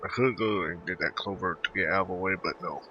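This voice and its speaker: A man's voice: sits low, fast talker, lo-fi sound, slight background noise. A man giving casual game commentary, thinking aloud and repeating words to himself as he plays. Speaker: gamer recording a playthrough.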